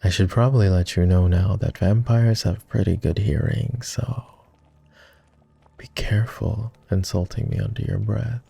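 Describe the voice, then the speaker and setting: A man playing a flirty, courtly vampire aristocrat in an intimate roleplay ASMR, with refined, formal, teasing speech in a low, gravelly murmur. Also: moderate pace, warm tone, full character voice. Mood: amused, casual. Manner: refined, formal diction with playful teasing and sighs.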